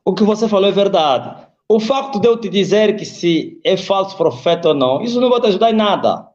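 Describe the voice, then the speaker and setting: A man's voice: lively intonation, a little fast, darkish tone, highs cut off. A man, preaching emphatically on a live video call and quoting scripture. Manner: emphatic, swings high and low, quotes scripture. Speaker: apostle, preacher.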